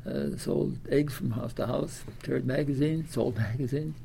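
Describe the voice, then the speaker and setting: A very old man from Iowa, ninety years of age, recalls his life in a gravelly, mellow voice. He rambles along with fillers and restarts. Faint room ambience sits behind him. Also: mid-range voice, normal speed, a little hiss or hum. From Des Moines, Iowa. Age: 90s. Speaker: interviewee. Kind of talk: oral history interview.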